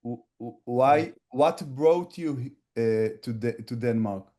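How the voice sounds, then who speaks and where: A man's voice: mid-range voice, slow pace, warm tone. A man asking hesitant, stammering questions on a video call. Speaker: meeting participant.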